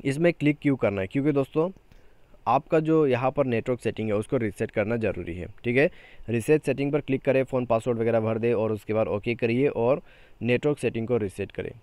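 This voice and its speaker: Guy doing a casual step by step phone how-to, mid pitched voice, dry close recording, keeps checking in. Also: fairly quick, darkish tone, dry recording. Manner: repeatedly tags sentences with a check-in phrase. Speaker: tech tutorial YouTuber. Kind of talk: informal step-by-step phone tutorial narration.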